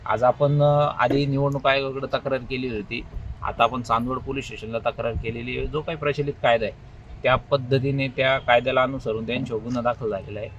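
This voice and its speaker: A man's voice: mid-range voice, steady tone, quick pace, a bit bright, dull audio, slight background noise. A man with a Maharashtrian accent, speaking informally as an election candidate. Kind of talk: informal phone call and spoken public statement.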